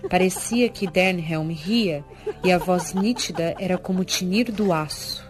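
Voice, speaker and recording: A woman narrates a fantasy tale in a fairly low voice with a Brazilian accent. The delivery is scripted and dramatic, with prominent music underneath. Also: measured pace.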